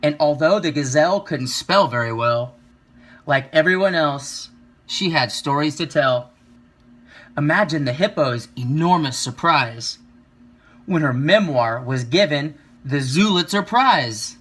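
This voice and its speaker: Bright-voiced man reading a children's story. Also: on the high side, expressive, a little slow. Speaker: reader of a children's picture book. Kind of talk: children's story read-aloud.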